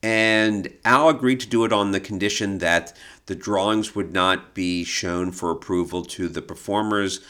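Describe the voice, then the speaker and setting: Low-voiced American man explaining on a podcast. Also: moderate pace, few pauses, bright, forceful tone. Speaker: podcast co-host. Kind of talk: conversational discussion of illustration history.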